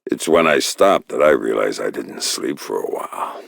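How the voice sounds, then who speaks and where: Very deep gravelly covert agent. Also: male voice, moderate pace, no room sound, studio quality, heavily acted. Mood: low, deadpan. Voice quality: gravelly, low, often breathy growl. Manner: deadpan, sardonic, terse. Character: gruff, wry, menacing middle-aged male covert agent. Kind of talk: video game voice lines.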